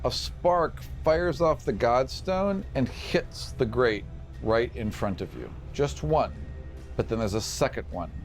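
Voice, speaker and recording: A man working as a game master, describing scenes for a tabletop fantasy game in short, halting phrases. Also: medium pitch, lively intonation, faint music behind. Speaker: game master and podcast host.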